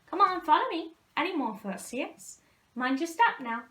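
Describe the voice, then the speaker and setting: A woman's voice: high-pitched, expressive, moderate pace, a bit bright, roomy sound, pitched above the performer's own voice. A woman voicing a big, hairy, hearty man calling out to students in a storybook.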